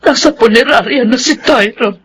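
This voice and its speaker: A woman's voice: a little high, moderate pace, close to an everyday voice. An actress with a Filipino accent playing a grieving wife and mother, accusing and scolding in anguish in a radio drama. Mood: bitter grief.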